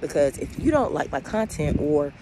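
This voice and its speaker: A woman's voice: deep voice, sing-song, normal speed. A woman, chatting casually and rambling straight to her viewers as she walks along a beach. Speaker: vlogger. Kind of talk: casual walking vlog. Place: outdoors on a windy beach. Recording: loud wind and wave noise. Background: wind and surf.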